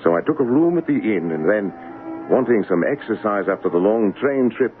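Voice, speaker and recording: An actor playing a polite, determined young gentleman in a radio drama. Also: fairly low pitch, lively intonation, normal speed, soft background music, close to an everyday voice. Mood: narrating calmly. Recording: muffled, noisy old radio broadcast.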